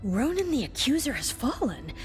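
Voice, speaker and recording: A woman's voice: high-pitched, sing-song, moderate pace, over loud music. Regal, commanding superhero queen. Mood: regal, resolute. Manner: formal, stately diction.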